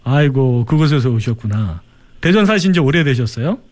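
Lively man hosting a radio show. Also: mid-range voice, moderate pace. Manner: animated, laughs often, chatty. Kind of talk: lively call-in radio chat.

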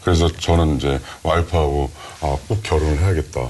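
A man guest with a deep, low-toned voice, telling a personal story politely and plainly in a conversational interview. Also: fairly even intonation, brisk, darkish tone, a little hiss or hum.

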